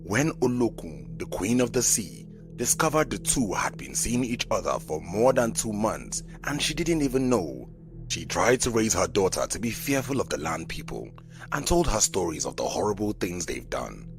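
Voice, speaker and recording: A man's voice: on the low side, sing-song, normal speed, vocal fry, low-quality recording. A man narrating a scripted folk tale as a storyteller. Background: background music.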